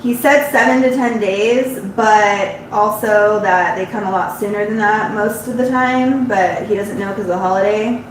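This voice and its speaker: Woman at a mid pitch, stuffy-nosed and chatty, live-streaming her sewing. She is recorded off-mic in an echoey room. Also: fairly even intonation, normal speed, few pauses, distant mic. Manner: chatty and rambling, with frequent restarts. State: congested, runny nose. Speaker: embroidery shop owner. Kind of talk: casual live-stream chat while sewing. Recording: very echoey room, voice off-mic, audible background hum.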